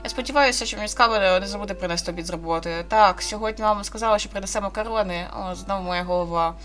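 A woman's voice: mid-range voice, fast talker, fairly bright tone, close to an everyday voice. A woman with a Ukrainian accent voicing a troubled, anxious young girl from a horror visual novel in an earnest, dramatic read. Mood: wistful.

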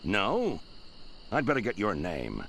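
A man playing a menacing, smug sheriff in a pirate video game, gravelly and condescending. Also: lowish voice, huge pitch swings, slow, fairly bright tone, a little hiss or hum, full character voice.

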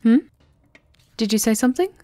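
A woman playing a shrewd, composed High Enchantress in a fantasy ASMR roleplay, with a measured, knowing, formal manner. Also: animated, dark tone, dry recording, natural voice. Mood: measured, guarded. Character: shrewd, composed female High Enchantress advising a vampire countess.

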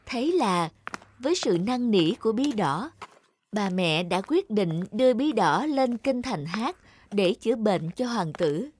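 A woman's voice: mid-range voice, expressive, a little slow, darkish tone, a little hiss or hum. A woman reading scripted fairy-tale narration as a storyteller. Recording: music under the voice.

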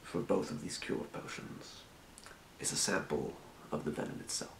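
Deep whispering fantasy potion shopkeeper played by a man. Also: gravelly, echoey room, background noise. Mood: calm explaining. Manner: murmuring, unhurried, muttering to himself. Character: helpful old-world fantasy potion shopkeeper, quietly businesslike. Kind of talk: ASMR role play. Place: small room, indoors at a desk.

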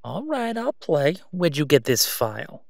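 A man acts out a middle-aged crime boss for an audio drama, sly and self-assured. He draws out his words with mocking condescension, smooth-talking his way along. The sound is close and dry. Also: a little high, very animated, no room sound, full character voice. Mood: mocking, amused. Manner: sarcastic, smooth-talking, drawn-out condescending delivery. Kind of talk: roleplay audio drama monologue.

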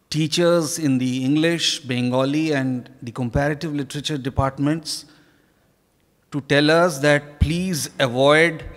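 Man, middle-aged, Indian accent, slightly high voice, deliberate phrasing, author interview, echoing ballroom. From Kolkata, India. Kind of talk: reflective on-stage interview answers. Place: large hotel ballroom stage. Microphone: handheld microphone. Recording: echoey hall PA with long reverb.

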